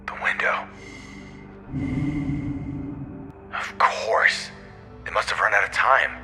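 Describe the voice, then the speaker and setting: An actor playing a stranded, desperate male astronaut talking to himself, halting, strained and short of breath. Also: on the low side, bright, forceful tone, soft background music. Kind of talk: dramatic audio drama monologue.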